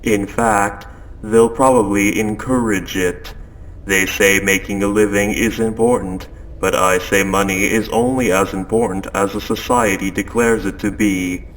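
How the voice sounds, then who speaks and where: Man as a slow, low-voiced possessive spaceship AI. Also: flat delivery, poor audio. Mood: probing, persuasive. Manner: measured, formal, softly soothing and coaxing. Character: calm, possessive spaceship AI turning quietly obsessive. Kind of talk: scripted audio roleplay monologue. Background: ambient sound effects.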